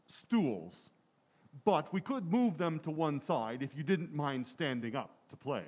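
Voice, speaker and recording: Animated, courteous male shop salesman played by a man. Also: fairly high pitch, measured pace, lo-fi sound. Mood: courteous, obliging. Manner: formal, deferential salesman patter. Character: polite, obliging music store salesman. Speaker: amateur actor in a community playhouse radio recreation. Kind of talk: old-time radio comedy acting.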